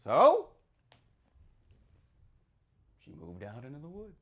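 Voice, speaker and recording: A man playing a folksy American small-town storyteller, telling a dark witch legend in a theatrical, conspiratorial way with questioning tags. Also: medium pitch, huge pitch swings, fairly quick. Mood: grave, emphatic. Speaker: author reading from a novel. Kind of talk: theatrical in-character reading of horror fiction.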